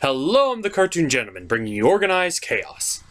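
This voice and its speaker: A man narrates a scripted comedy review as the show's host. His pitch swings widely from phrase to phrase, and his delivery is theatrical, with a put-upon, exasperated air. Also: very animated, fairly quick, a bit bright. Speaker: host of a puppet cartoon review show.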